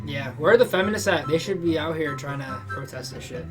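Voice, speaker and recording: Young guy ranting w cursing, kinda high voice, clear music going in the back. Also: brisk. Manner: swears freely, opinionated and rambling. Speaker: panel member. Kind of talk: casual group chat.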